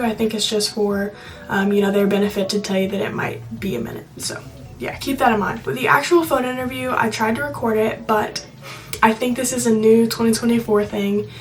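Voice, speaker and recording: A woman's voice: on the high side, recorded from a distance. A young woman talking in a chatty, reassuring, informal way, giving casual advice to viewers. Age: young adult. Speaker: video blogger.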